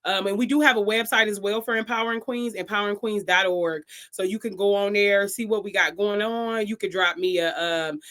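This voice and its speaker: A woman's voice: medium pitch, steady tone, a little fast, hardly any pauses, fairly bright tone. A woman in her thirties, a podcast guest, talking casually and emphatically, often repeating phrases for emphasis. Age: 30s. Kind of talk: casual interview chat.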